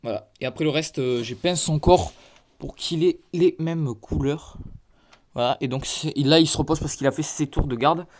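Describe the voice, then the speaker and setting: Stuffy-voiced man giving a halting show-and-tell. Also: on the high side. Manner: halting, filler-heavy, points things out one by one. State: stuffy from a head cold. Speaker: hobbyist presenting his toy collection. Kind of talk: casual show-and-tell narration. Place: converted attic room with creaky floor. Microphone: phone camera held in hand.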